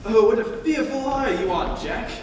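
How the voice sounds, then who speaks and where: A flippant young gentleman idler from a stage comedy, played by a young man. He teases in a high voice with mock earnestness. The sound is distant and reverberant, with faint noise. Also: animated, moderate pace. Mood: teasing. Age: young adult. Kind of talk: amateur dramatic reading of a stage comedy. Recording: echoey hall, distant mic, faint noise.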